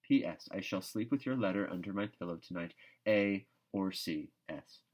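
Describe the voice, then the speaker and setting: An earnest, imaginative schoolgirl from a storybook, read by a man in his own fairly low voice. She talks in grand words and puts melodramatic weight on her feelings. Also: moderate pace. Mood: earnest devotion.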